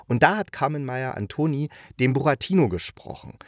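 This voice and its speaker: A man from eastern Germany presents a casual spoken review. His voice sits at an average male pitch, and he talks in a chatty, enthusiastic manner. The recording is dry, with hardly any room sound. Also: lively intonation, brisk, no echo. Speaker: audio drama review host.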